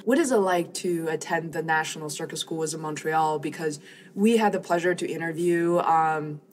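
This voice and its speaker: A woman's voice: deep voice, normal speed, a little hiss or hum. A woman hosting a podcast, chatting casually and encouragingly as she interviews.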